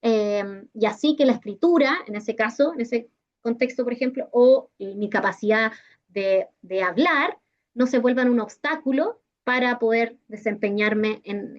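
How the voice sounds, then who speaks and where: A woman with a Chilean accent explaining material didactically as a workshop presenter, often checking in with short tag questions, over a video call. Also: on the high side. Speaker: teacher-training workshop presenter. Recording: dull, lo-fi video call audio.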